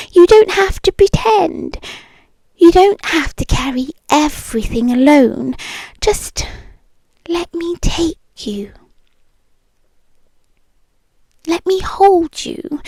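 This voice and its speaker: A British woman playing a possessive, obsessive ex-girlfriend in an intimate ASMR roleplay, speaking softly and coaxingly close to the microphone and often slipping into whispers. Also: high voice, gravelly, no echo, exaggerated voice. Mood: tender pleading. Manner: soft, coaxing, slow and deliberate, slipping into whispers. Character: possessive, obsessive yandere ex-girlfriend, tender yet menacing.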